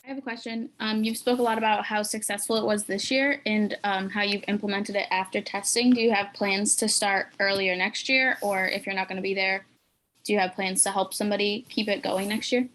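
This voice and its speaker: A woman's voice: mid-range voice, fairly even intonation, a little fast, fairly bright tone, rough recording. Polite woman asking a question. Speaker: audience questioner.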